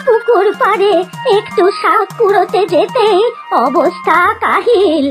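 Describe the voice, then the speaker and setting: A very high-voiced, stingy old village granny in a children's cartoon. She grumbles and scolds in slow, drawn-out, dismissive phrases, with music playing behind her. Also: expressive. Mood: grumbling. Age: older. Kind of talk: Bengali children's cartoon acting.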